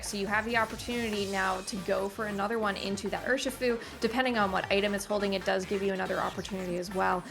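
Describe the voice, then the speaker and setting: A woman doing play-by-play commentary on a competitive match, brisk and analytical, now and then correcting herself. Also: mid-range voice. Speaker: esports commentator. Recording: music playing under the voice. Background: background music.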